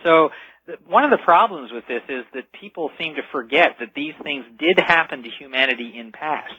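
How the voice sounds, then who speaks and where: A man talks as a guest commentator in a radio interview. His voice is bright and pressing as he explains earnestly, and the sound is dry and a little lo-fi. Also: fairly high pitch, expressive, moderate pace, breathy edge, no echo. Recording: slightly dull lo-fi radio call audio.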